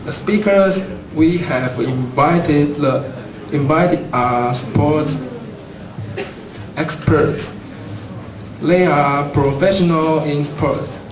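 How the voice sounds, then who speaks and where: Slow-speaking man with a Taiwanese accent. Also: a little high. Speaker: co-host of a student English corner. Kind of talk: scripted event hosting. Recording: distant, echoey room with crowd.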